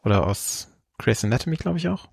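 A man with a mid-pitched voice chats as a film podcast host. He is earnest and works out his opinions aloud, recorded dry with almost no room sound. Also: lively intonation, a little fast, creaky, darkish tone, breathy edge, no room sound. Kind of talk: casual film review chat.

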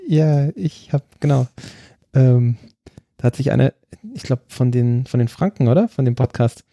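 A man's voice: medium pitch, measured pace, creaky, mellow, dry recording. A man co-hosting a podcast in casual chat, enthusiastic and laughing often. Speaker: podcast co-host.

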